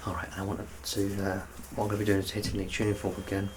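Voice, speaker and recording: Low-pitched man doing gentle ASMR doctor roleplay, noisy background. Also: completely flat delivery, quick pace. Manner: gentle, reassuring, softly instructing. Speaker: ASMR performer playing a doctor. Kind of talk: ASMR medical exam roleplay.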